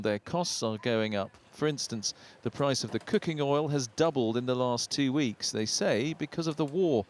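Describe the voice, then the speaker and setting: A man with a British accent delivering scripted narration as a television news reporter. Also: mid-range voice.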